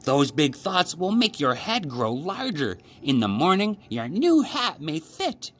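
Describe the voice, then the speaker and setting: A man voicing a kindly, helpful frog from a children's storybook, giving gentle, deliberate instruction. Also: on the high side, huge pitch swings, voice pushed up, heavily acted. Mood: encouraging, advising.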